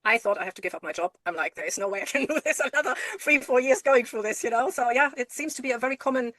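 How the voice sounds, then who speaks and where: A woman's voice: a little high, fast talker, fairly bright tone, dry recording. A middle-aged woman from Germany, giving earnest advice as a podcast guest. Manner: encouraging, emphatic, repeats herself for stress.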